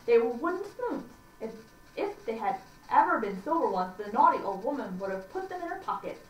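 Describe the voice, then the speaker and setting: A woman reading a children's story aloud for a library audience, deliberately and with small stumbles. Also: fairly high pitch, sing-song. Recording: echoey room, distant mic, faint noise.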